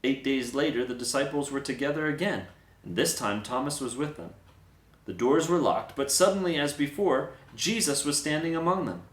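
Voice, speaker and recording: Male storyteller for dramatic scripture narration, mid-pitched voice, recorded in an echoey room. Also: normal speed. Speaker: storyteller, Bible reader.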